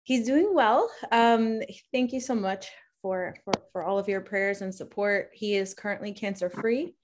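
Female podcast co-host, warm and effusive, quick to laugh, with plenty of fillers. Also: mid-range voice. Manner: warm, gushing, laughs easily, frequent fillers. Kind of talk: casual chat and interview hosting.

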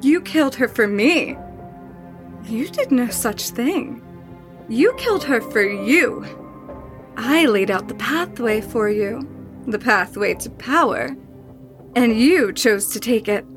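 A woman's voice: on the high side, very animated, slow pace, pauses often, music in the background. A woman playing a seductive, playfully cruel female spirit in an audio horror drama, teasing and sinister, sometimes dropping toward a whisper. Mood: scornful, commanding.

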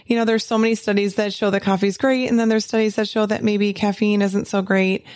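A woman hosting a podcast from a small home office, chatting casually and laughing often, her voice a little hoarse from a cold. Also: mid-range voice, darkish tone, no room sound, studio quality. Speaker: podcast host.

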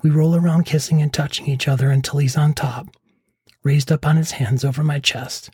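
A middle-aged man with a husky voice narrating a scripted first-person memoir as a podcast host. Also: on the high side, fairly even intonation, a little fast, warm tone, no room sound. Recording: close dry voice over faint music.

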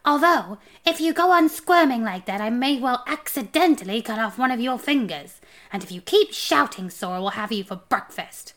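Woman as a haughty, high-pitched, hissing little homunculus. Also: expressive, moderate pace, a bit bright. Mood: snappish. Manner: hissing, scolding, imperious. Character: tiny spidery male homunculus, haughty, sly, bossy schemer. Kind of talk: children's fantasy storybook reading.

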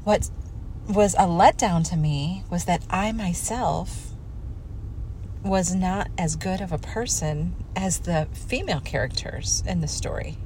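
A woman's voice: lowish voice, a little slow, a little mellow, slight background noise, poor audio. A middle-aged woman giving a candid, conversational personal talk, self-deprecating and repeating words for emphasis. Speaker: speaker giving a personal talk on faith and family life.

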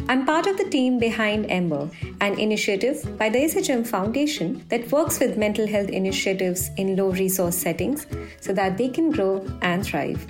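A woman hosting a podcast, reading a measured, explanatory script. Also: medium pitch, expressive, faint music behind. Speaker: podcast host.

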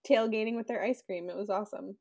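A woman's voice: medium pitch, fairly even intonation, quick pace, gravelly, darkish tone. A woman hosting a casual local podcast, upbeat and leading the conversation. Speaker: podcast host. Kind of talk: casual chat.

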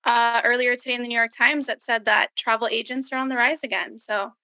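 A woman's voice: on the high side, flat delivery, brisk, pushed and bright, dry recording. An American woman talking casually as a podcast co-host. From Miami, USA.

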